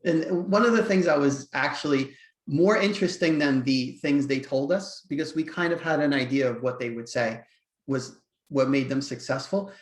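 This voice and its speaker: A man's voice: mid-range voice, normal speed. Man co-host in an echoey room. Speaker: co-host of a dog trainer business webinar. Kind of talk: casual webinar talk.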